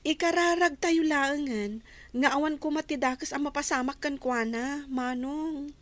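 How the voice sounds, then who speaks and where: A woman playing a gentle, worried, prayerful young woman in an audio drama, pleading softly. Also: high voice, measured pace, no echo. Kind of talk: Ilocano audio drama acting.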